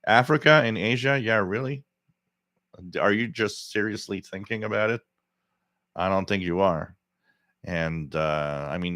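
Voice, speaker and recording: A man's voice: low voice, fairly bright tone, no room sound, clean studio sound. A French Canadian man whose first language is French, hosting a live radio stream and giving opinions in a rambling, opinionated way with many restarts. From Quebec, Canada. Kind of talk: live stream chat reading viewer comments.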